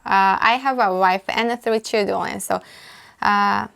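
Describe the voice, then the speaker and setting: A woman with a Japanese accent, a native speaker, casually explaining words while playing a language app, thinking aloud with frequent pauses and self-corrections. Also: medium pitch, steady tone, creaky, fairly bright tone, slight background noise. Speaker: language tutor. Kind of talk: casual screen-recorded app walkthrough.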